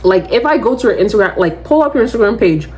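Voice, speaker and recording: Woman, twenties, loud voice, medium pitch, podcast host, rambling casual chat, lots of "like". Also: fast. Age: 20s. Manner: chatty, rambling, heavy use of "like"; animated, slips into quoting others. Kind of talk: casual solo chat to camera.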